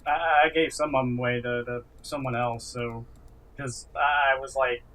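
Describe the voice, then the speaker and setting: A man with a mid-range voice co-hosts a casual gaming podcast. He speaks haltingly, often doubling back on words before he finishes a thought. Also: mid-range voice, fairly even intonation, sharp tone, rough recording. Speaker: podcast co-host.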